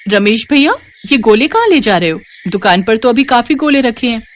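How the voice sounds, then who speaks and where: A woman's voice: on the high side, animated, moderate pace, voice pushed up, close to an everyday voice. A woman voicing a devout, polite young working wife in a moral story. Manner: polite, earnest. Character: devout, hardworking, earnest young wife and shop worker.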